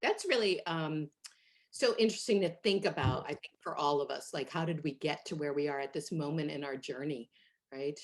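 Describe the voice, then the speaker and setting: A middle-aged woman from Hungary, a guest in an interview, telling her story in a lively way with fillers and laughs. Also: sits low, moderate pace. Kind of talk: conversational interview.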